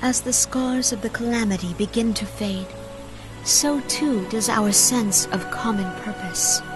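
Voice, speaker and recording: A woman's voice: a little high, unhurried, lightly acted. A woman voicing a gracious, earnest leader of a forest nation in a fantasy video game, speaking with solemn, archaic formality. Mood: solemn, troubled. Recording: loud music under voice.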